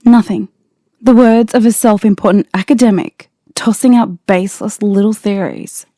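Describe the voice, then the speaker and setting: A man's voice: high voice, moderate pace, dark tone, no room sound, studio quality, voice pushed up. A man voicing a dignified, slightly haughty sun princess in a dismissive way.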